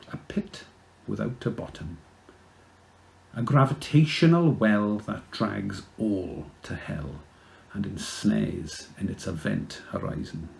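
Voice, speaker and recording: Male, Welsh accent, low gravelly voice, theatrical poetry recital, deliberate delivery. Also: a little mellow. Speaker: poet.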